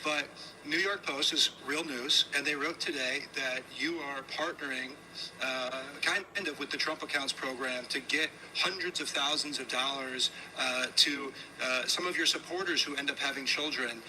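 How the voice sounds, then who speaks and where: A man interviewing a guest before a room audience in a casual, flattering, chatty way. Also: medium pitch, normal speed. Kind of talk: onstage conversational interview. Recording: noisy room ambience.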